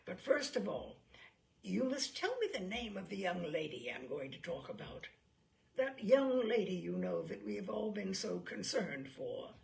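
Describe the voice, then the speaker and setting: Woman putting on a bluff, lively old navy admiral. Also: mid-range voice, a little slow, creaky, full character voice. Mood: eager, confiding. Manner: blustery, rambling, emphatic. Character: bluff, hearty, chatty older male navy admiral. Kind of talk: audiobook character dialogue.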